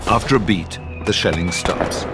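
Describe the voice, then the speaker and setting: A man with a deep voice narrates an audio drama. He reads scripted stage directions, with music playing behind him. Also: moderate pace.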